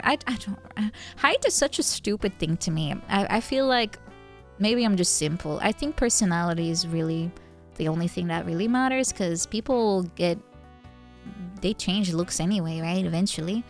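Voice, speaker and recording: Lively, tipsy young woman streamer. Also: medium pitch, normal speed. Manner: animated, laughs often, thinks aloud with fillers. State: tipsy from wine. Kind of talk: casual chat with viewers. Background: faint background music.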